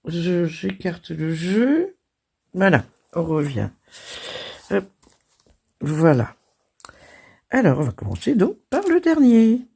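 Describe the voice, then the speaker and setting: A French woman hosting her crafting channel, hoarse from a cold, chatting casually and laughing at herself. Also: on the low side, big pitch swings, takes it slowly, pauses often, darkish tone. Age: older. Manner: chatty and self-deprecating, laughing and coughing. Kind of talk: casual chat.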